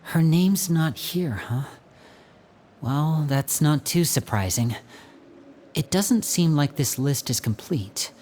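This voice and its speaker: A man voicing a young stage magician in a game who tells stories smoothly and turns grave when he speaks of the rules. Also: expressive, takes it slowly, darkish tone, a little hiss or hum. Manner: smooth storyteller, turns serious and low. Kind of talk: game voice acting.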